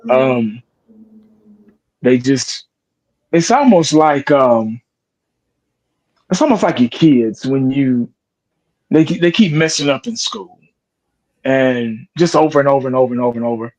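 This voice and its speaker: A man's voice: mid-range voice, lively intonation, unhurried, pauses often, a little mellow, low-quality recording. A man, a sports video creator talking candidly in a casual podcast chat, profane and rambling with restarts. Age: 40s.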